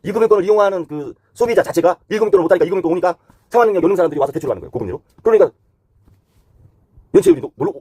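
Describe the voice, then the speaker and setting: A man's voice: high voice, animated, racing, mellow, breathy edge. A man giving unscripted explanatory commentary as a host, talking conversationally point by point and sliding between polite and blunt, casual phrasing.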